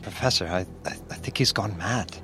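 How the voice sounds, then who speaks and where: An actor playing a nervous, dutiful observatory assistant in an audio horror drama, jittery and stammering. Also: male voice, lowish voice, fairly quick, over music, natural voice. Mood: worried. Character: nervous, eager male observatory assistant, rattled but dutiful.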